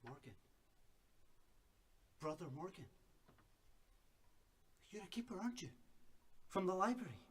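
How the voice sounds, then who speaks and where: An actor playing an imprisoned keeper of a secretive order, weary, suspicious and desperate, in a radio drama. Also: male voice, sits high, big pitch swings, a little slow, pauses often, fairly bright tone, husky, exaggerated voice. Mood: alarmed, agitated.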